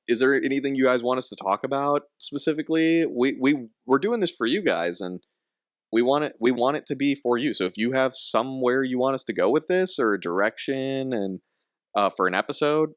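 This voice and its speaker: A man's voice: mid-range voice, expressive, fairly quick, no room sound, studio quality. A young man hosting a casual podcast, rambling with frequent laughs and restarts. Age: young adult. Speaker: podcast host.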